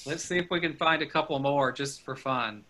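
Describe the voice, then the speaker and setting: A man, a pastor, leading a small group on a video call in a friendly way, asking quick questions and laughing often. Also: fairly high pitch, bright, forceful tone.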